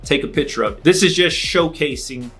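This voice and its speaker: Bright, higher-voiced Californian man explaining casually. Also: animated, fairly quick. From Los Angeles, California. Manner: emphatic, repetitive phrasing with check-in questions. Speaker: host, clothing brand owner giving marketing advice. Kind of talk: casual instructional talk to camera.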